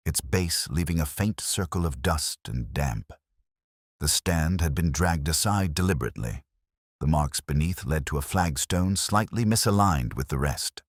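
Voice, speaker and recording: A man playing a melancholy police detective, narrating a mystery in a measured, literary, reflective way. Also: very deep voice, dry recording, studio quality, exaggerated voice. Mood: somber, observant. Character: brooding, melancholy male police detective narrating his case. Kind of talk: scripted first-person mystery narration.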